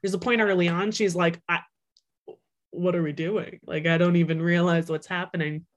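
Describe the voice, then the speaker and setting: A woman's voice: on the low side, fairly even intonation, normal speed. A young adult woman, an author, talking casually in an interview, with lots of fillers, restarts and laughs. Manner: chatty, many fillers and restarts, laughs often. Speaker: author guest.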